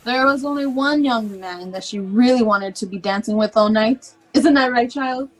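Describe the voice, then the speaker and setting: Woman as a high-voiced, outspoken aunt. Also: normal speed, lightly acted, rough recording. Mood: teasing. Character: outspoken, protective aunt, teasing and frank. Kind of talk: radio drama acting.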